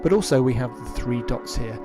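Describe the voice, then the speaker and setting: A man's voice: mid-range voice, animated, brisk. A man hosting a casual live demonstration, talking unscripted and thinking aloud, often repeating his phrases. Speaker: livestream host and hobbyist developer. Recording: loud music under the voice. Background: ambient music.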